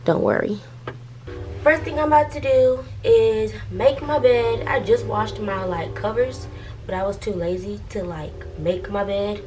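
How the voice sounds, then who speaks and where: A young woman vlogger with a raspy, hoarse voice as she loses it, chatting loosely to camera from her bedroom, full of "like" and "um". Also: mid-range voice, unhurried, dark tone, soft background music. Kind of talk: casual chat to camera.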